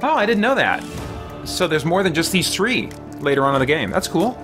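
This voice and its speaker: Man livestreaming over loud game music. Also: a little high, very animated, a little fast. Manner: musing aloud, mild folksy exclamations. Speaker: livestream host playing a video game. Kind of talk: casual gaming commentary, reading chat aloud. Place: small indoor room at home. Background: video game music.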